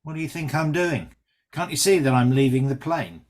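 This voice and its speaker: A man's voice: on the high side, lively intonation, moderate pace, a little mellow, lightly acted. A man playing a callous, mocking traitor pilot with a smug, sarcastic delivery in a script read. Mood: mocking menace. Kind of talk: screenplay table read acting.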